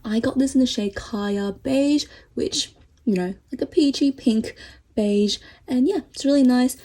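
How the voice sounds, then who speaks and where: A woman vlogger chatting casually through a makeup review, enthusiastic and full of fillers, her voice getting hoarse. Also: sits high, unhurried, dark tone. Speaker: beauty vlogger.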